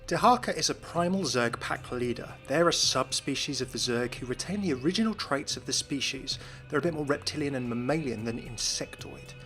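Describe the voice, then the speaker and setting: A man's voice: mid-range voice, fairly bright tone. A man hosting a video, reading scripted explainer narration.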